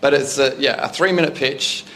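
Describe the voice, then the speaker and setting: An Australian man hosting a startup meetup, making relaxed, chatty announcements to a live audience in a room. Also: medium pitch, moderate pace, slight background noise. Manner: chatty, relaxed, loose with fillers. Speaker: event host. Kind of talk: casual event announcements.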